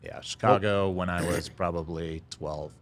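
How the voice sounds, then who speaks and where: A middle-aged man, a guest telling his life story in a casual interview, pausing often on "uh" and chuckling now and then. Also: low-pitched, steady tone. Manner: halting, lots of "uh" fillers, chuckles now and then. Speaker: restaurant founder, podcast guest.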